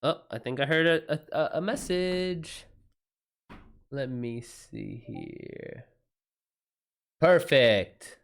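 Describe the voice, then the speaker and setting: A man's voice: fairly high pitch, animated, very slow, gravelly, long pauses. Casual, sweary male livestreamer. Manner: informal, sweary, slangy. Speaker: livestream host, author taking writing questions. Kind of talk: casual livestream chat, reading and answering messages.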